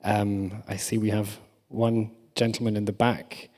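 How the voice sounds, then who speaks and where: Male moderator, low pitch, monotone, long hall reverb. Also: measured pace. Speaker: panel moderator. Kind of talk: moderating a conference panel. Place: large conference hall.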